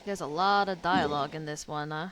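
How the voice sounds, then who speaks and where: A woman's voice: low voice, brisk, heavy background noise. A woman streamer reacting casually to a game, chatting, laughing and swearing. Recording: loud background ambience.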